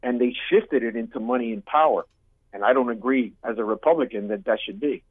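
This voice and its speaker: A man born in Cuba, calling in to a radio show, speaking in an earnest, halting way that often repeats itself. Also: mid-range voice, dry recording. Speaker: caller. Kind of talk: call-in over the phone. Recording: tinny phone line.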